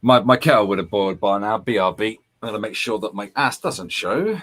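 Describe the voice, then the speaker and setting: Low-voiced man bantering casually. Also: expressive, brisk, fairly bright tone. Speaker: co-host of a gaming radio stream.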